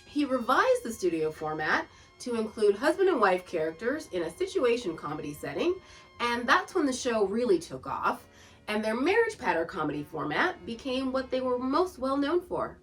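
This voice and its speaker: A woman podcast host with a high, very animated voice, talking casually as she introduces things. She sounds a little way off from the mic, with music underneath. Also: moderate pace, distant mic. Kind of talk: casual informative narration introducing old radio shows.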